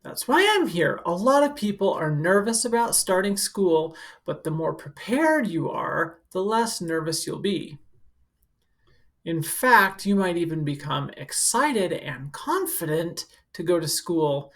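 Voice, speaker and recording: A woman's voice: fairly low pitch, lively intonation, a little slow, fairly bright tone. A woman working as a school counselor, reading a picture book aloud to children and adding casual, instructive explanations in simple words. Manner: simple, instructive phrasing aimed at young children.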